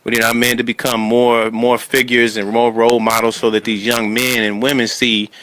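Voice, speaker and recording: A man's voice: mid-range voice, level tone, normal speed, a bit bright, dry recording, poor audio. A man hosting a casual conversational interview, rambling and emphatic, often checking that listeners follow him. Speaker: talk show host.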